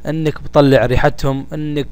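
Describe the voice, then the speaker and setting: A man with a Gulf Arabic accent presenting a software tutorial step by step in an informal, colloquial way, addressing listeners familiarly. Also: a little high, little pitch movement, fast, clean tone. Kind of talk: casual step-by-step screen tutorial. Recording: home recording with faint background noise.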